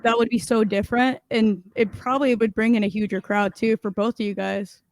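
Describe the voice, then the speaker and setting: A woman's voice: fairly high pitch, steady tone, brisk, no room sound, poor audio. Chatty young woman, dry close sound. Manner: casual, chatty, many likes and mm-hmms. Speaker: interviewer, podcast host. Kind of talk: casual interview chat.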